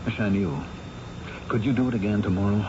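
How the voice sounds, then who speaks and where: Man plays inquisitive big-city newsman, lowish voice, resolute; hissy, noisy vintage radio recording. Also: fairly quick, muffled recording, natural voice. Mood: probing. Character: determined, inquisitive male big-city newspaper reporter. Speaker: reporter. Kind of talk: old-time radio drama acting.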